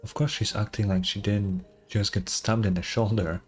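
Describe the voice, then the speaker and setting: A man's voice: on the low side, fairly even intonation, normal speed, soft background music. A man streaming a game, reading its dialogue aloud and adding casual commentary.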